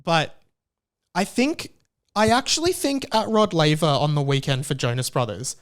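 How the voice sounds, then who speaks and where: Australian male podcast host, casual and self-mocking, slightly high, clear tone. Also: lively intonation, moderate pace. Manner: rambling, self-deprecating, full of filler likes. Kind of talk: casual solo podcast chat.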